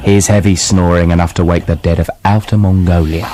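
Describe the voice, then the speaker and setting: An actor playing a breezy, scheming writer in a radio play, with a chatty, slyly reassuring manner and storybook turns. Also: male voice, deep voice, steady tone, brisk, lightly acted. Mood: grand storytelling. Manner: chatty, falsely reassuring, sly. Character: breezy, scheming male writer who narrates the tale. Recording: clear background noise.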